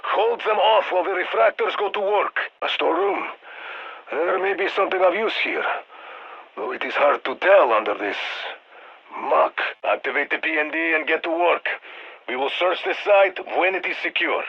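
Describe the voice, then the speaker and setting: A man's voice: on the high side, normal speed, gravelly, breathy edge, highs cut off. A man playing a stern, dry Russian military handler in a video game, giving clipped orders over radio in a Russian accent. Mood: firm, briefing. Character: stern, dry Russian soldier commanding a strike team. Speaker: mission handler.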